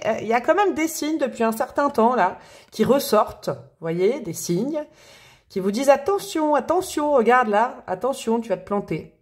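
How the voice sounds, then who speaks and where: A woman doing a casual, chatty tarot reading to camera, with expressive, playful emphasis. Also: on the high side. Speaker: tarot reader.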